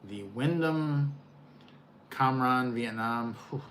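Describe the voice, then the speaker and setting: An older man with a mid-pitched voice co-hosts a relaxed live Q&A. He is easygoing as he reads out viewer comments and answers them. Faint noise sits under the recording. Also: slow pace. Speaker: co-host. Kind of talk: casual live Q&A chat.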